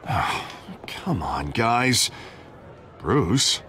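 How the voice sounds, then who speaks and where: An actor playing an affable, persuasive politician in a video game, with a smooth-talking, coaxing manner. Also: male voice, on the low side, sing-song, drawn-out words, sharp tone, full character voice. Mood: uneasy. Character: affable, persuasive male politician, eager to please.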